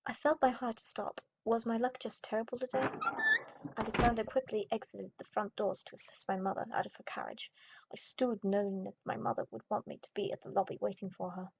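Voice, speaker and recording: A woman's voice: medium pitch, steady tone, a little fast, creaky, a little mellow, a little hiss or hum. A young woman gaming YouTuber reading visual novel narration aloud in a dramatic storytelling style. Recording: dull audio, music behind. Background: game music.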